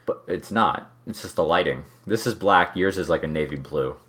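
A man's voice: low voice, moderate pace, fairly bright tone. A man in his thirties chatting casually as a co-host, rambling and restarting his sentences with a joking tone. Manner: rambling, self-interrupting, joking. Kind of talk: casual chat.

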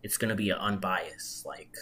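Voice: low-pitched, steady tone, moderate pace, sharp tone. A podcast co-host chatting casually about their opinions in an emphatic, slangy way. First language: non-English first language. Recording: clear background noise.

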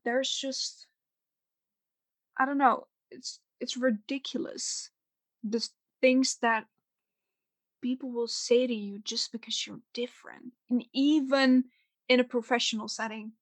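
A young woman chatting on a podcast, her voice a bit high and close to the mic. She rambles and restarts often. The sound is dry, with no room around it. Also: fairly even intonation, unhurried, pauses often, no echo. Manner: rambling, many fillers and restarts. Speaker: podcast co-host. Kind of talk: casual podcast chat.

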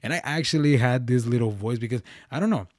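A man's voice: mid-range voice, fast, no room sound, studio quality. A young man hosting his own podcast, talking casually and rambling with restarts and slang. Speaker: podcast host. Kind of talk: casual solo podcast talk.

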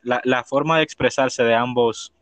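A man's voice: medium pitch, steady tone, normal speed, no echo. A man with a Dominican accent hosting a casual podcast chat, playful and teasing. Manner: playful, teasing, laughs loudly. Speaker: podcast host.

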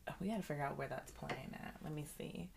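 A woman hosting a podcast in casual chat, relaxed and thoughtful as she gives her opinions. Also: sits low, quick pace, creaky, slight background noise. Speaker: podcast host.

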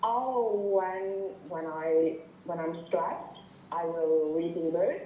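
A man's voice: fairly high pitch, takes it slowly. A young man from Vietnam with a Vietnamese accent, calling in and speaking hesitantly, often stammering at the start. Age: young adult. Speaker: caller. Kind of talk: casual call-in chat. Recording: dull muffled call, echoey, faint hiss.

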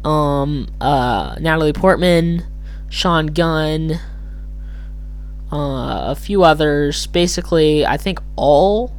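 Solo podcaster, slightly hoarse, mid pitch, rambles and corrects themselves. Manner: rambling, hesitant, self-correcting. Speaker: podcast host. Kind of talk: casual solo commentary.

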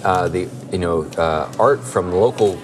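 Very deep-voiced man agreeing. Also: a little slow, background noise. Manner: brief agreeing interjections. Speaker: podcast co-host. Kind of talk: casual discussion. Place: restaurant.

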